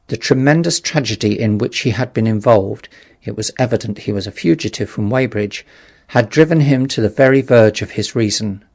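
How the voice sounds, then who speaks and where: A man's voice: medium pitch, normal speed, darkish tone. A man narrating a literary audiobook in a dramatic, scripted storytelling style.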